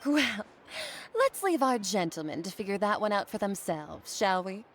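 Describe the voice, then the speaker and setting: A woman's voice: mid-range voice, very animated, normal speed, slight background noise, lightly acted. A woman playing a refined, wealthy married lady in a video game, posh and genteel. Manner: posh and leisurely. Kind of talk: game dialogue. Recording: game audio over background music.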